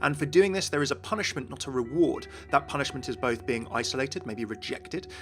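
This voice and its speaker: A man's voice: mid-range voice, lively intonation, fairly quick. A man presenting game lore as an enthusiastic scripted video narration. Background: music.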